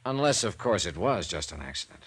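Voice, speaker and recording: An actor playing a wry, self-assured hard-boiled investigator in a clipped 1950s radio detective style. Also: male voice, deep voice, sing-song, fairly quick, fairly bright tone, slightly rough, exaggerated voice. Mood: thoughtful, grim. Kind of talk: 1950s radio detective acting with first-person narration.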